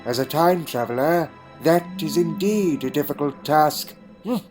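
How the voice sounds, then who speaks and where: A man playing a wistful, eccentric time-travelling alien in an audio drama, with a halting, reflective way of talking. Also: on the high side, a little mellow, lightly acted. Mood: wry. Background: faint music.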